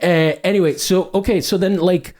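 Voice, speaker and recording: A man hosting a podcast, interviewing casually, joking and chiming in with quick agreement. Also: sits high, fairly even intonation, a little mellow.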